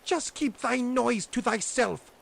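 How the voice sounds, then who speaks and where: A man voicing a wicked old woman, a witch who is mocking and greedy, in a shrill, commanding voice. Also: takes it slowly, vocal fry, fairly bright tone, heavily acted. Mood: scolding.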